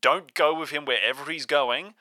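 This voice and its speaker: A man's voice: on the high side, moderate pace, pushed and bright, no echo, clean studio sound. A man playing in an improvised audio drama, talking casually and stumbling a little as he makes up his part. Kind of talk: casual improvised role-play chat.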